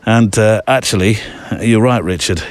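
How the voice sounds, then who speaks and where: A man's voice: fairly low pitch, normal speed, dry recording. A man hosting a photography podcast, talking in a loose, rambling way with many ums and restarts while out walking in snowy mountains. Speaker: podcast host. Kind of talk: casual spoken monologue. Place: outdoors in a snowy mountain area.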